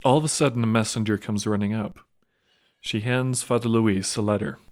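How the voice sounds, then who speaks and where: A man's voice: fairly low pitch, steady tone, fairly quick, darkish tone, close to an everyday voice. A man playing a courteous priest and narrating an audio drama. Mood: courteous, measured.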